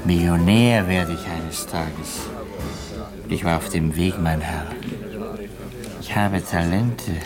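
Casting: washed-up former student for radio drama, bitter and rambling, deep-ish slow delivery over music. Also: male voice. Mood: bitter, self-pitying. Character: bitter, self-pitying failed medical student, down and out. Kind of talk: German radio play acting.

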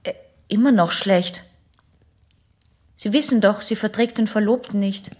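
An actress playing a reluctant, wistful young patient in an intimate radio drama, with a husky, breathy voice. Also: female voice, medium pitch, a little slow, a little mellow, close to an everyday voice. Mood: reflective, hesitant.

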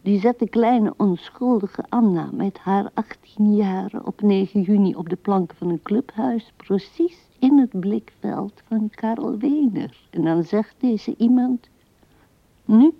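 An actress playing an old widow who muses tenderly and haltingly to her dead husband in a radio play, often sinking to a whisper. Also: mid-range voice, gravelly, no echo. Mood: wistful musing. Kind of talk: intimate radio play monologue. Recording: dull, dry vintage radio recording.

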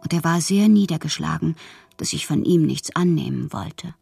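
A woman playing a whimsical bohemian poet who confides her letters in an intimate, breathy, theatrical way for a radio drama. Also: sits low, moderate pace, dark tone, soft background music. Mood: secretive, dreamy. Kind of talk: literary radio drama, letters read as monologue.

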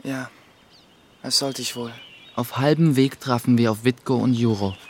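An actor playing a young, guarded mill apprentice who narrates a radio play in a reflective, restrained way. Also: male voice, medium pitch, steady tone, moderate pace, darkish tone, a little hiss or hum, close to an everyday voice. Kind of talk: scripted first-person narration in a German radio play. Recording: music behind the voice.

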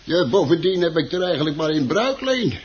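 An actor in a radio play playing a chatty, grumbling plant shop keeper who hesitates, stammers and repeats himself. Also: male voice, a little high, big pitch swings, a little fast. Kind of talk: Dutch radio play acting. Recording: dull, muffled old radio recording.

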